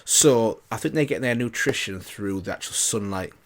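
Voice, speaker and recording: A man's voice: lowish voice, normal speed. A man hosting a reaction video, musing aloud in casual commentary and repeating himself as he thinks.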